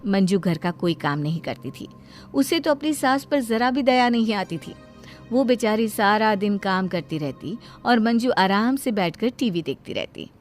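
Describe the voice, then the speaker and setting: A woman tells a scripted bedtime story for a cartoon. Her voice is of average pitch for a woman, and faint music plays behind her narration. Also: animated, unhurried.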